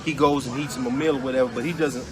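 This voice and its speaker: A man hosting a relaxed, joking interview, asking questions in a laid-back way. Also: medium pitch, racing, a crowd in the background. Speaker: interview host. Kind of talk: casual interview chat.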